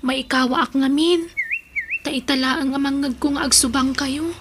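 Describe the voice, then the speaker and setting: Woman as very high-pitched worried Filipino child. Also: fairly even intonation, normal speed, natural voice. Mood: curious, troubled. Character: innocent, worried young child of quarrelling parents. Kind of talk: Ilocano radio drama acting. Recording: noisy radio drama recording.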